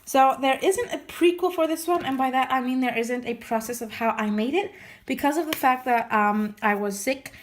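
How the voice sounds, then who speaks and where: A woman chatting casually in a vlog, her voice slightly hoarse as she gets over losing it, rambling with many restarts and fillers. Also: high voice, moderate pace. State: recovering from lost voice, slightly hoarse. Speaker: scrapbooking vlogger. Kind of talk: casual show-and-tell chat.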